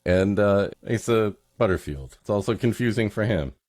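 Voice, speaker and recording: A man's voice: fairly low pitch, expressive, moderate pace, darkish tone, no echo, studio quality, faint music behind. A man co-hosting a podcast, mixing story reading with wry, casual comic riffs. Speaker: podcast co-host.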